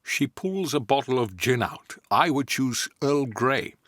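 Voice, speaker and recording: A man doing a comic impression of a genteel, grand stage actor, narrating screenplay directions deliberately and dramatically. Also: medium pitch, lively intonation, a bit bright, no room sound, clean studio sound. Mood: measured.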